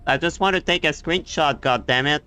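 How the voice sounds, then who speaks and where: Bright, clear-voiced man streaming a game. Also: a little high, normal speed. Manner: casual swearing, muttering exasperation. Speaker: livestreamer. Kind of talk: casual game stream commentary.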